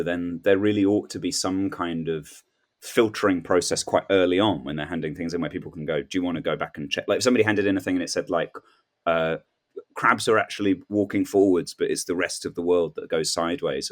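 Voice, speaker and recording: Male comedian guest, low register, casual, tentative, questioning delivery with acted-out asides. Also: brisk. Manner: hesitant, questioning, acts out imagined remarks. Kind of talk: casual comic chat.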